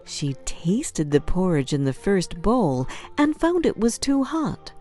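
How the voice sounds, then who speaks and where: Slow, animated woman telling fairy tales. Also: mid-range voice, soft background music. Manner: expressive, deliberate storytelling. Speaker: storyteller. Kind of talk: scripted fairy-tale narration for children.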